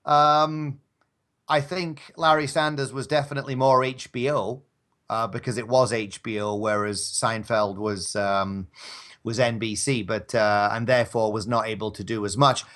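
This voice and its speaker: A man's voice: mid-range voice, steady tone, moderate pace, fairly bright tone. A man as a podcast guest in casual chat, rambling conversationally. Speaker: podcast guest, radio drama actor.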